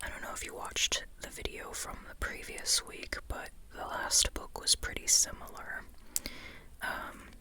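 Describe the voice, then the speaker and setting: An ASMR host whispering in an informal, rambling way, softly and unhurriedly, often repeating phrases. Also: unhurried. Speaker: ASMR host reviewing a book.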